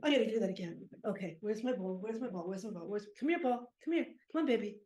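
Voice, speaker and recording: A woman playing a cranky, sentimental aging ex-baseball pitcher with an aching back, rambling and muttering in an improvised monologue. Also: animated, fast talker, dark tone, close to an everyday voice. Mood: fond, muttering. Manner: rambling, muttering, groaning, talks fondly to objects. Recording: echoey room.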